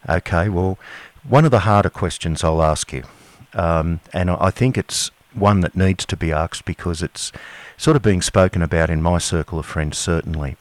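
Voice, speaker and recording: Australian man, radio host, low voice, casual hesitant questions, dry sound. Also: moderate pace, no room sound. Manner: casual, hesitant, friendly chat. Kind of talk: radio interview.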